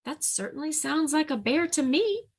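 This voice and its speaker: A woman voicing a gentle, big-hearted male bear who feels like a bunny, speaking softly and earnestly in a raised voice. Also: high voice, expressive, moderate pace, pitched above the performer's own voice. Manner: soft, earnest and hopeful.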